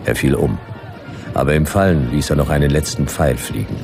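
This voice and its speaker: Radio play lead: laconic noir detective, extremely low gravelly voice, dry deadpan narration. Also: male voice, a little fast, over music. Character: hardboiled laconic male detective narrating his Western ordeal.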